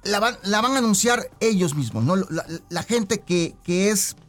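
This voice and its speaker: A man's voice: sits high, expressive, measured pace, soft background music. A man with a Mexican accent, speaking conversationally and at length as a director being interviewed.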